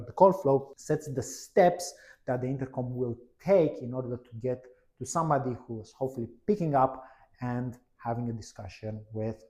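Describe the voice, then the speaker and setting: A man's voice: mid-range voice, a little mellow. A man narrating a product demo in a conversational, explanatory way, stressing key words as he presents.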